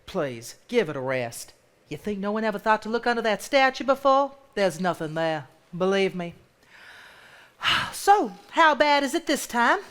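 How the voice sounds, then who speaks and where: An actress playing an exasperated, knowing, sharp-tongued woman in a live stage play, with a weary scolding manner. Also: mid-range voice, big pitch swings, unhurried.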